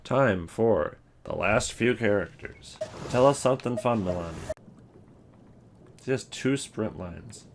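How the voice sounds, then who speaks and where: A man giving casual, opinionated video commentary on game voice lines, thinking aloud in halting, rambling sentences. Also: lowish voice, expressive, creaky, a little hiss or hum. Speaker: video host.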